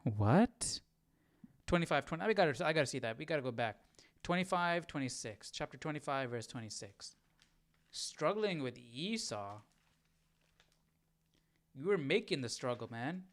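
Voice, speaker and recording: A man's voice: on the high side, lively intonation, normal speed, no room sound. A man hosting a Bible study livestream in casual chat, questioning and thinking aloud informally. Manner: informal, questioning, muttering to himself.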